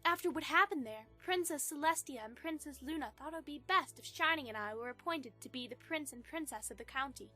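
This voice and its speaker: A woman playing a gracious, earnest young pony princess, gentle and polite, in a fan audio drama. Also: sits high, animated, brisk, few pauses, soft background music.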